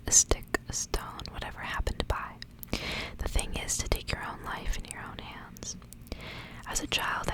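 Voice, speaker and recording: A woman whispering softly and breathily as an ASMR host, reading stories and chatting casually. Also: low-quality recording. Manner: whispering throughout, soft and breathy. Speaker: ASMR reader and channel host.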